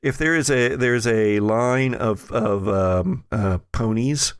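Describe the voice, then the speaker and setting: A man narrating scenes as game master of a tabletop roleplaying podcast, in a halting, often jokey way. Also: mid-range voice, clean tone, dry recording, studio quality.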